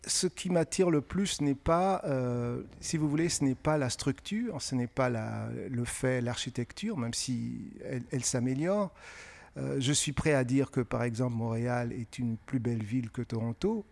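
A middle-aged man who grew up in francophone Africa, answering interview questions in a measured, thoughtful way with frequent pauses. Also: medium pitch, gravelly, a little mellow. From francophone West and Central Africa. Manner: measured, thoughtful, pausing often with hesitations. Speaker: guest author.

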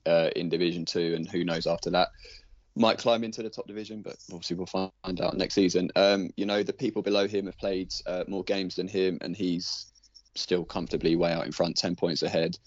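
A man's voice: low-pitched, little pitch movement, fairly quick, dry recording, highs cut off. A man giving laid-back, casual commentary as a podcast panellist. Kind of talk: casual commentary on league standings.

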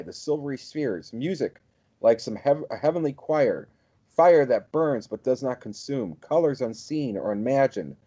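A man's voice: medium pitch, mellow, lo-fi sound. A man co-hosting a podcast, speaking in a casual, conversational style. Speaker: podcast co-host. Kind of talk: casual discussion, reading excerpts aloud.

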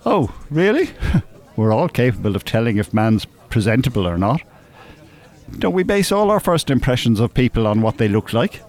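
A worldly older Irishman in a radio play. He is dry, teasing and opinionated, with a lively rise and fall in his voice and a blunt, cynical streak. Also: medium pitch, moderate pace. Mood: persuasive, blunt. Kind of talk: naturalistic radio drama acting.

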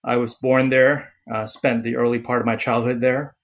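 A man in his forties from Taiwan, a psychiatrist speaking as a podcast guest, pausing often with fillers and restarts. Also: mid-range voice, level tone. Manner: hesitant, many fillers and restarts. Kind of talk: podcast interview.

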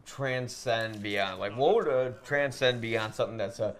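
A man's voice: mid-range voice, normal speed, fairly bright tone. A man hosting a casual podcast chat while playing a video game, talking discursively, giving opinions and posing questions. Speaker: podcast host. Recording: clearly noisy room recording.

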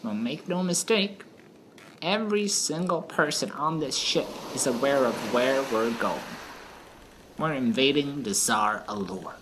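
A woman's voice: a bass voice, expressive, measured pace, fairly bright tone, heavily acted. A woman playing a seasoned, playful gnome adventurer and knowing mentor in a fantasy audio drama. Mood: grave. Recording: noticeable ambient background noise.

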